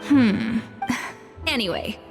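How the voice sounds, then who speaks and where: Proud, exacting chef in long reverb. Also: female voice, a little high, slow pace, gravelly, faint music behind. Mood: matter-of-fact, determined. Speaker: video game voice actor. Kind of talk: scripted game story dialogue.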